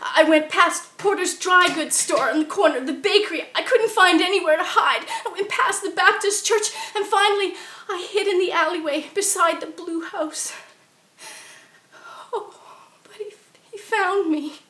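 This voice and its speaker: A woman playing the ghost of a murdered 1870s wife, telling her story theatrically, swinging from shrieks to hushed confiding. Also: extremely high pitch, normal speed, reverberant. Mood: storytelling. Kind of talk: costumed ghost monologue.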